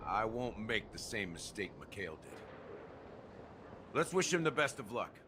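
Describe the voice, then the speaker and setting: An actor playing a world-weary, enigmatic middle-aged man in a video game, speaking in a measured, wry and reflective way. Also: lowish voice, sing-song, noisy background. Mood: wry, guarded. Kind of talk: dramatic game dialogue.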